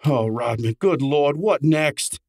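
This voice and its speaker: An actor playing a brash, wisecracking detective's assistant in an old-time radio drama, exasperated and sardonic. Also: male voice, on the high side, expressive, slow, a little mellow, dry recording. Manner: exasperated, sardonic, hard-boiled.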